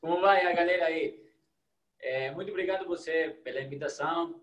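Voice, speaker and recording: An enthusiastic young man with an Argentine Spanish accent, speaking his second language warmly and sometimes stopping to search for a word. His voice sits a bit high, and the small room he is in comes through clearly in an echoey sound. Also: brisk. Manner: warm, enthusiastic, searches for words at times. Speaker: guest, psychologist. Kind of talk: casual interview over video call.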